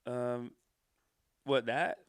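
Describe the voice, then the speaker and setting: A man from Canada co-hosting a podcast, telling stories in a joking, animated way. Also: mid-range voice, smooth, no room sound, studio quality. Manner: animated, joking, fast storytelling. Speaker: podcast co-host and comedian. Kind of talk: casual podcast chat.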